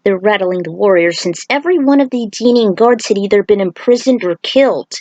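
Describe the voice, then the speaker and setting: A woman's voice: medium pitch, a little fast, darkish tone, no echo. A woman reading an action story aloud as a narrator, with casual asides. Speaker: fan fiction author reading aloud.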